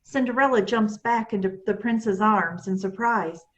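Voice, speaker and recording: A woman's voice: mid-range voice, moderate pace, highs cut off. A woman reading stage directions as scripted narration for a play.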